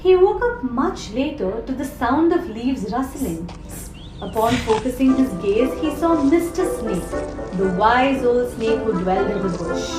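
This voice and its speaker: A woman storyteller with a high voice narrates a scripted children's tale. Loud music runs behind her, and the room adds a clear echo. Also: expressive, unhurried. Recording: echoey room with prominent music. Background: prominent music.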